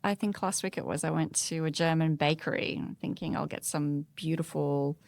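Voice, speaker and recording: A woman with a low voice and plenty of vocal fry chats on a podcast panel. She is recorded dry, and her delivery is conversational. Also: fairly even intonation, normal speed, a little mellow, no echo. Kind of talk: casual panel discussion.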